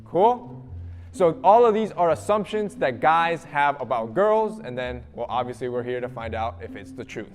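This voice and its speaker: A man hosting a group debate video, moderating casually with brisk, quick reactions. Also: mid-range voice, animated, in a large hall, faint music behind.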